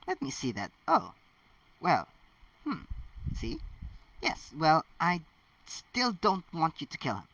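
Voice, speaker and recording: A man's voice: on the high side, huge pitch swings, takes it slowly, vocal fry, long pauses, fairly bright tone, no echo, voice pushed up, full character voice. A man voicing an earnest, bossy, fretful teenage schoolgirl in an amateur story reading. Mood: stern, fretful. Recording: dull lo-fi, distant mic.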